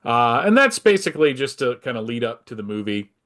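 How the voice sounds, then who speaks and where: A man chatting casually as a podcaster guest, halting and a little pedantic like a devoted fan. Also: medium pitch, big pitch swings, a bit bright. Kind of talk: casual chat.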